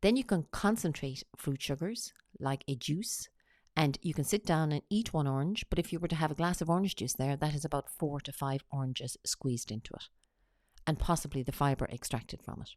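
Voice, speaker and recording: A woman talks through a slide presentation. She has a cold and explains each point in a steady, teacherly way. The sound is close and dry, with no room around it. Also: sits low, moderate pace, no echo. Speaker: weight-loss coach.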